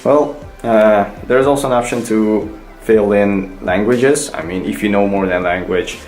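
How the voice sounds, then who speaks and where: Young man, low voice, loose rambling vlog delivery, roomy echo. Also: measured pace, a little mellow. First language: Kazakh. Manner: rambling, hesitant, many fillers and restarts. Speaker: vlogger. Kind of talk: casual tutorial vlog. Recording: echoey room with faint music.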